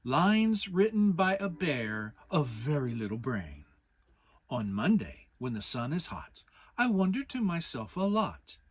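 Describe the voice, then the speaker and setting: A storybook reader voicing a gentle, earnest, slow-witted bear with a halting, deliberate manner. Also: medium pitch, sing-song, breathy edge, no echo. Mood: earnest recital. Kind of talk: dramatic children's storybook reading.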